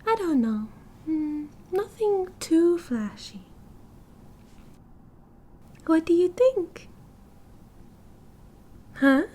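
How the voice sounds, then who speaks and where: A woman's voice: very high voice, big pitch swings, mellow, exaggerated voice. A British woman playing a cheerful, oblivious and possessive yandere girlfriend in an ASMR roleplay, with a breezy, giggly, dramatic manner. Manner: giggly, breezy, dramatic, chatty with drawn-out casual delivery.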